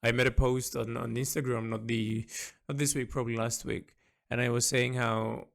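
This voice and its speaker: A man with a gravelly, creaky voice at an average pitch records an unscripted audio log. He speaks earnestly and reflectively, often halting and doubling back on words as he works out his thoughts. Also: level tone. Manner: halting, repeats words as he thinks aloud; earnest, introspective.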